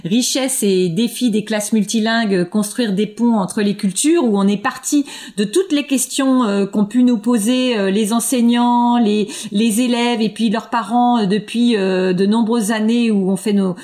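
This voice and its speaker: A woman's voice: fairly high pitch, a little slow, a little mellow. A woman researcher answering interview questions, hesitant, with many fillers and restarts. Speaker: education researcher.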